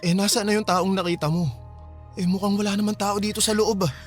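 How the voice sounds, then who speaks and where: A man's voice: high voice, steady tone, fast talker, faint music behind, natural voice. A Filipino man acting in a radio drama as a concerned but skeptical young friend who asks questions. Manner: questioning, doubtful.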